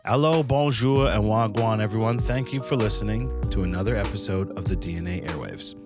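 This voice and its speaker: A man's voice: lowish voice, brisk. A man hosting a podcast, reading an upbeat scripted intro in a promotional manner. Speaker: podcast host. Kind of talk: scripted intro then casual chat. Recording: music bed behind voice.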